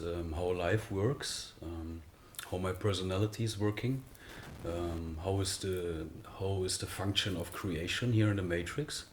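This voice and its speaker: A man from Germany with a German accent, speaking English as a second language as an interview guest, halting with frequent pauses and fillers. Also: low voice, slight background noise. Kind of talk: conversational interview.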